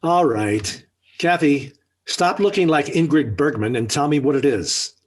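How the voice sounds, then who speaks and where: A man's voice: on the high side, expressive, a little slow. An actor playing a stern, sardonic middle-aged father in a 1940s radio sitcom. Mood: dryly puzzled. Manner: stern, dry, put-upon. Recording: vintage 1940s radio broadcast.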